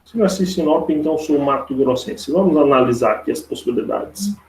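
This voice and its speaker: A Brazilian man with a creaky voice teaching a live online logic lesson step by step, informally, repeating himself and checking for agreement with tag questions. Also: a little high, animated, fairly quick, slight background noise. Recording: roomy, echoey online class audio.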